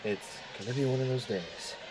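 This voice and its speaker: A glib, image-conscious high school boy, acted by a man in an audio drama. He speaks in a mid-range, self-assured voice over faint background noise. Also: moderate pace, natural voice. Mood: self-satisfied.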